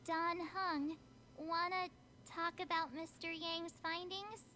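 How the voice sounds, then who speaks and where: A woman voicing a perky, playful, excitable teenage girl adventurer in an anime-style video game, with bubbly, chatty delivery. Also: extremely high pitch, takes it slowly, a little hiss or hum, exaggerated voice. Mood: curious.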